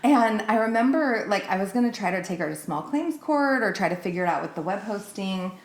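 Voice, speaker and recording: A woman's voice: medium pitch, expressive, a little fast. A woman telling personal anecdotes in casual conversation, acting out what was said, with a roomy echo on her voice. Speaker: podcast speaker. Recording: roomy, echoing sound.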